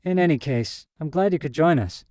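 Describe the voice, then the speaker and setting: Man voicing a playful woman, softly mellow, pitched up. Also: animated, brisk, dry recording, clean studio sound, lightly acted. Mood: friendly. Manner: playful, teasing. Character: witty, teasing woman scientist, old flame of narrator. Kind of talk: acted short story dialogue.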